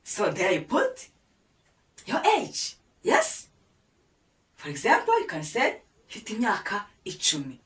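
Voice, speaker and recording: Rwandan woman teacher, slow and patient, lots of "yes?" prompts, echoey room, mic kinda far. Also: medium pitch, huge pitch swings, a bit bright. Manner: patient, coaxing, many "yes?" and "aha" prompts. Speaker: language teacher. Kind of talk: slow language lesson with prompts to repeat.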